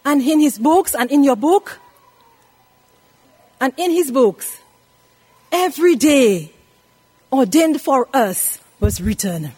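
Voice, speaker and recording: A woman's voice: high voice, animated, takes it slowly, pauses often, warm tone. A woman in her eighties preaching a scripture lesson, emphatically repeating phrases and putting questions to her listeners. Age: 80s. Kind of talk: sermon teaching from scripture.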